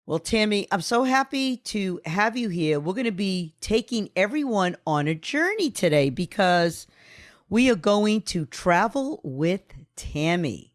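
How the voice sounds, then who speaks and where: A woman's voice: mid-range voice, big pitch swings, unhurried. A woman hosting a talk podcast, upbeat and effusive as she introduces and questions her guest. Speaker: podcast host. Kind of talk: enthusiastic host interview.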